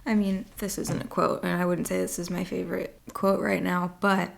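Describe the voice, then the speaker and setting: A woman hosting her own podcast alone at home late at night, chatting casually and rambling with lots of "like", her voice worn and slightly raspy from a long day of talking. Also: fairly low pitch, flat delivery, normal speed. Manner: rambling, filler-heavy talk with frequent "like". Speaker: podcast host. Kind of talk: casual solo chat.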